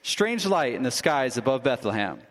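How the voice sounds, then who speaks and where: An actor playing a polished radio station announcer who introduces news bulletins in a live radio drama. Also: male voice, mid-range voice, very animated, normal speed, fairly bright tone. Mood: announcing. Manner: polished broadcast announcer delivery. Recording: live stage recording in a hall.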